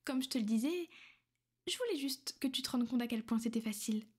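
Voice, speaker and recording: A woman voicing a gentle, possessive female vampire in an ASMR roleplay. She speaks softly and intimately, often in a half-whisper, coaxing and reassuring. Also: a little high, expressive, brisk, breathy edge. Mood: teasing.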